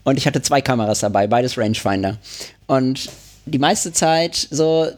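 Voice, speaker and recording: A man's voice: medium pitch, expressive, moderate pace. A man co-hosting a casual chat about film photography, talking in rambling restarts and laughing often. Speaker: podcast co-host.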